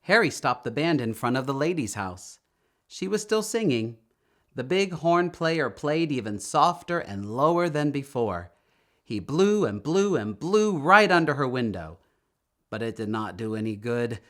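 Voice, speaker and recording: Male, children's read-aloud narrator, medium pitch, very varied intonation, expressive, deliberate storyteller. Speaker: storybook reader.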